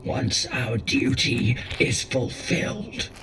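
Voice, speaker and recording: A performer in a dramatic ASMR roleplay playing a grave, defiant warlord battling sickness, with halting, theatrical delivery. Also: mid-range voice, animated, a bit bright, recorded from a distance, slight background noise. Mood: grim resolve.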